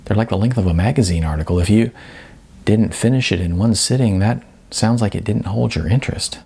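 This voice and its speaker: A man reads the part of a young woman filmmaker in an audiobook, earnest and defensive. The voice is husky with a creaky edge, and the delivery is dry, probing and a little halting. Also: on the low side, mellow. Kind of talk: audiobook character voice.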